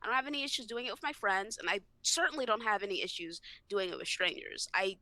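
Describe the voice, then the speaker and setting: Woman, bright forceful voice, dry close sound, outspoken, emphatic, casual podcast talk. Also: mid-range voice, quick pace, no echo, poor audio. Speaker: podcast panelist. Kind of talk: casual chat.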